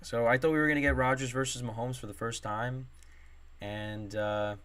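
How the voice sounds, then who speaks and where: A man's voice: mid-range voice, steady tone, moderate pace, a bit bright, smooth. A young man hosting a sports podcast solo, rambling with many fillers and restarts, his voice strained from screaming at a game. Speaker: podcast host.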